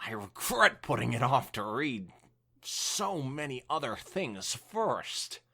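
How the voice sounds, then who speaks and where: An earnest, bookish cartoon mare, played by a woman, speaks with wildly varied pitch. She is apologetic and emphatic, explaining herself at length as in a dramatic story reading. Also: very animated, slow, pushed and bright, husky, exaggerated voice. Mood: apologetic, defensive. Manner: emphatic, defensive, wordy explaining. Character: earnest, apologetic bookish female pony, easily flustered.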